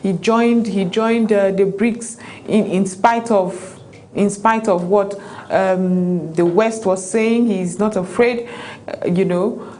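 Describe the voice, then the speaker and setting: A woman talking on a panel about pan-politics, animated, with many fillers and restarts. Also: mid-range voice, slow pace, a little hiss or hum. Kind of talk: panel discussion.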